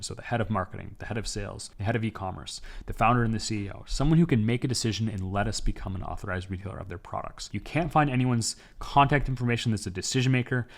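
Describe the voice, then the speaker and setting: A young man from Canada teaching an online business course in a steady, step-by-step instructive way. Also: lowish voice, vocal fry. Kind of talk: scripted online business lesson.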